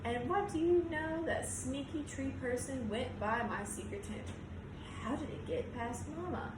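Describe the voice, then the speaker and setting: An imaginative little storybook boy, acted out by a woman reader, boastful yet easily spooked. He exclaims in childlike phrases at a slightly high pitch. The sound is echoey and noisy. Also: measured pace. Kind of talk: storybook read-aloud. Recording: roomy echo, clear background noise.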